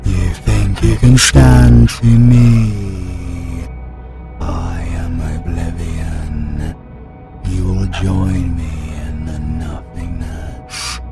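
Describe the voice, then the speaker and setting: A British man voicing the cold, menacing dark side of a possessed demon hunter in a fantasy audio drama. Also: flat delivery, exaggerated voice. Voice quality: gravelly, very deep. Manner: slow, cold, ominous pronouncements. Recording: music underneath.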